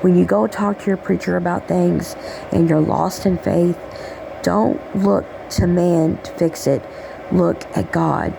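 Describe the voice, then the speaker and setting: A woman with a raspy voice giving a rambling, affectionate spiritual message, checking in with "okay?" as she talks. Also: fairly low pitch, a little slow, background noise. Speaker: spiritual channeler. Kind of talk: casual spiritual message monologue.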